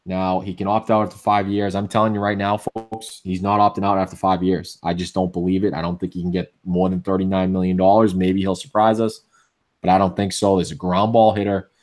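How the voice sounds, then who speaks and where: A man with a Boston accent co-hosting a casual sports podcast, opinionated and full of restarts, with a voice going hoarse. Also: deep voice, little pitch movement, fairly quick, a little mellow. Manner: opinionated, many restarts and fillers. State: losing his voice. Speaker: podcast co-host.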